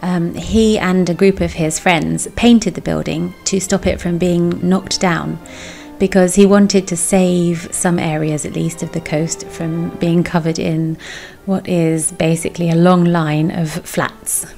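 A woman's voice: lowish voice, flat delivery, a little slow, vocal fry, dark tone. A woman in her thirties giving a chatty voice-over for her vlog. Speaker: vlogger. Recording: background music. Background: music.

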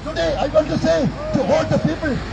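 A man's voice: very high voice, brisk, gravelly, muffled recording. A middle-aged man preaching an emphatic open-air street sermon into a handheld microphone outdoors in a city plaza, repeating his declarations. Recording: crowd and city noise outdoors.

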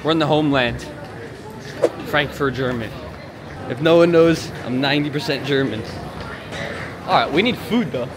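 Brief: young male vlogger, high-ish voice, joking banter, noisy terminal. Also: moderate pace, pauses often. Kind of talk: casual vlog chat. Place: airport terminal and plane.

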